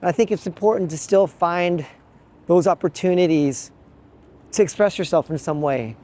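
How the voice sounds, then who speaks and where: A man from the Midwest vlogs outdoors into a small handheld camera, his voice a bit high and mellow. He muses aloud, pausing and restarting his sentences as he thinks things through. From Midwest United States. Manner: thoughtful and musing, with frequent pauses and restarts. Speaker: travel vlogger. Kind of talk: reflective vlog monologue to camera. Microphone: handheld pocket gimbal camera.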